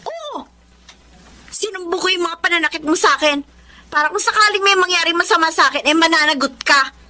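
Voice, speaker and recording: High-voiced, tearful battered young wife. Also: female voice, lively intonation, a little fast, bright, forceful tone, a little hiss or hum, exaggerated voice. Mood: hurt, defiant. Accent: Filipino. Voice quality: tearful, plaintive. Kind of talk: Filipino radio drama acting.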